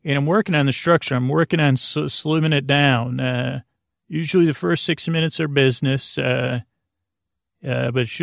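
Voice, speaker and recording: A man with a gravelly voice, hosting a bedtime podcast in a halting, meandering monologue that repeats itself and trails off. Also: mid-range voice, no room sound, studio quality. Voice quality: gravelly, creaky. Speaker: podcast host.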